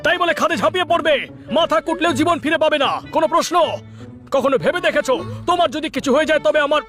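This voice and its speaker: Cartoon parrot sidekick: piercingly high, eager, preachy and self-important, easily flustered. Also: male voice, quick pace. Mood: anxious preaching. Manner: pompous, stammers when flustered. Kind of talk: animated cartoon dub acting. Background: loud background music.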